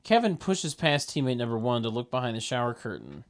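A man narrating a script's stage directions at a table read, with deadpan, mocking asides. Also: medium pitch, brisk.

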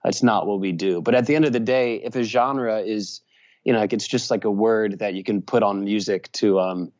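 A man's voice: on the low side, moderate pace, a little mellow, lo-fi sound. A man from Virginia, a musician and journalist, giving rambling casual interview answers full of fillers and restarts.

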